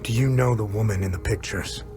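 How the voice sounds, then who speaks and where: An actor playing a terse adventurer in a video game, asking brief, direct questions. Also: male voice, on the low side, brisk, darkish tone, soft background music, close to an everyday voice. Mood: curious, businesslike. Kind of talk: video game dialogue acting.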